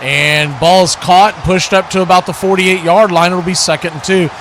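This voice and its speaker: Male football play-by-play host, high voice, live stream with clear background noise. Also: fairly even intonation, normal speed, a bit bright. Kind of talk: live American football commentary.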